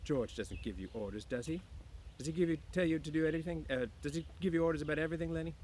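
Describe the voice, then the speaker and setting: A man's voice: fairly high pitch, quick pace, a little mellow, a little hiss or hum, pitched above the performer's own voice, close to an everyday voice. A man reading a storybook aloud as a teasing, prying young married woman, full of questions.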